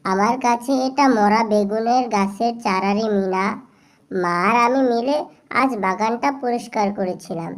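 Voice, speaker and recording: A woman's voice: mid-range voice, moderate pace, close to an everyday voice. Matter-of-fact young cartoon playmate voiced by a woman. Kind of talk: cartoon fairy-tale voice acting.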